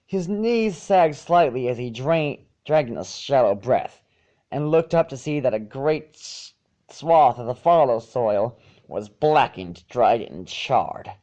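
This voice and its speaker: Male amateur narrator: mellow, mid-pitched, very varied intonation, storybook reading on a slightly muffled recording. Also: animated, slow pace. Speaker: fan fiction reader.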